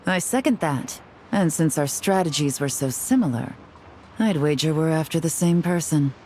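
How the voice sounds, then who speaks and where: An actress playing a cool, shrewd, self-assured female agent in a video game, composed and measured. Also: sits low, dark tone, a little hiss or hum, lightly acted. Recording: music behind the voice.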